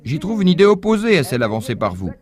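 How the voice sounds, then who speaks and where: A man with a fairly high voice lectures in a measured, teaching manner. His pitch swoops widely as he stresses points and poses rhetorical questions to his listeners. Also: big pitch swings. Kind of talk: dubbed lecture, explaining a point.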